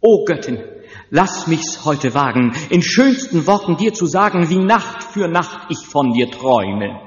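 An actor playing a lovesick stage actor who declaims pompous rhymed verse theatrically in a German audio drama. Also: male voice, fairly high pitch, sing-song, measured pace. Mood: ardent. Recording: dull sound in a long-reverberant echoing hall.

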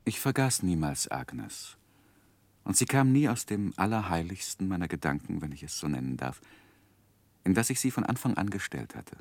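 Deep-voiced earnest gentleman recalling his youth. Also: big pitch swings, no echo, exaggerated voice. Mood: reflective. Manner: measured, reflective, literary. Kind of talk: 1960s German radio drama narration and acting.

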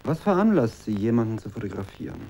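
An actor playing a cultivated, probing literary critic in a radio play, speaking in a pointed, inquisitive way. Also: male voice, medium pitch, very animated, fast, a little mellow, natural voice. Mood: probing, curious. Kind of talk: radio drama dialogue.

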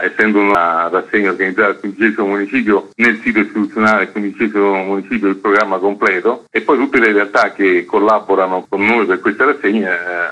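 A man's voice: fairly low pitch, pushed and bright, low-quality recording. A man being interviewed on radio as a festival curator, explaining articulately in long, flowing sentences. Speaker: artistic director and festival curator, interview guest.

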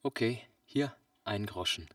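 A man playing a naive, excitable customer in a comic audio play, wide-eyed, puzzled and easily astonished. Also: on the low side, a little slow, no room sound, clean studio sound, far from the mic, lightly acted. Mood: pleased.

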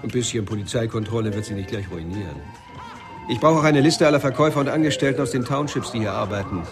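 An actor playing a menacing police captain, sarcastic, officious and pressing, in a German radio drama. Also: male voice, medium pitch, lively intonation, fast, music in the background, close to an everyday voice. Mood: cold, smug. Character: menacing, sardonic male police captain interrogating.

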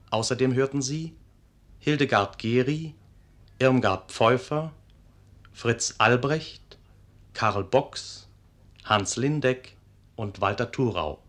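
Male radio announcer, slow measured delivery, medium pitch, formal credits reading. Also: fairly bright tone.

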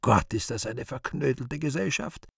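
A man's voice: medium pitch, lively intonation, normal speed, vocal fry, breathy edge, dry recording, studio quality, exaggerated voice. A man playing a regal, condescending and easily appalled Virgin Mary in a radio play, in a genteel, exclaiming manner. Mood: appalled, fussy.

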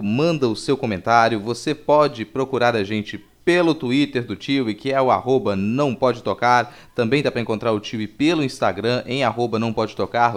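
A man hosting a podcast, talking informally to listeners with playful asides. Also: medium pitch. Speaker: podcast host. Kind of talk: casual podcast sign-off.

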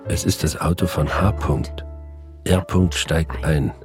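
An actor playing a suspicious husband who reads terse surveillance notes as a radio drama voice-over. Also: male voice, very deep voice, slow pace, music in the background. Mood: brooding, suspicious. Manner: terse, clipped log entries.

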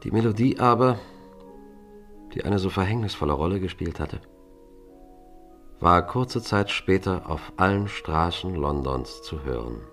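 Crime play storyteller: calm investigator, very low voice, deliberate and exact. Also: male voice, faint music behind, full character voice. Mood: reflective, narrating. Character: composed male investigator and storyteller. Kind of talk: German radio crime drama acting.

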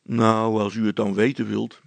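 Man as a placid, gravelly village fellow. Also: on the low side, level tone, no echo. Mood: resigned. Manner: deliberate, laconic, good-natured. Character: placid, unhurried, wry village father, male. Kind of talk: dramatized storybook reading.

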